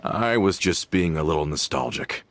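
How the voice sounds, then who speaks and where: A man playing an earnest, loyal henchman in a video game, talking in a formal, respectful, measured way. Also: sits low, expressive. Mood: nostalgic. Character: earnest, courteous male henchman, loyal and deferential. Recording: soft game music behind.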